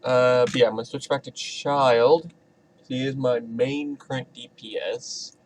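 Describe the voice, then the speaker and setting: Casting: male game streamer, medium pitch, informal off-the-cuff commentary that rambles a little. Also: steady tone, unhurried, a little hiss or hum, rough recording. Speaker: gaming streamer. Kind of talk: casual gameplay commentary.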